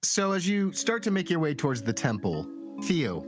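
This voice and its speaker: A man's voice: a little high, sing-song, normal speed, over music. A man acting as game master, casually narrating and steering a tabletop roleplaying game.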